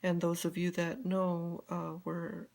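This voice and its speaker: Low, gravelly older woman chatting. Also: flat delivery, slow, a little mellow. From Colorado, USA. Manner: rambling, many fillers and restarts. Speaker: beauty guide vlogger. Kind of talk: casual live video chat.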